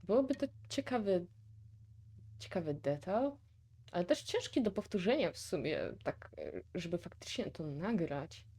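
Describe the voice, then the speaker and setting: A Polish woman hosts a casual reaction video. Her voice sits on the low side and is very soft-edged and dark in tone. She comments in a musing way, reasoning aloud about what she watches. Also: very animated, normal speed, slightly rough.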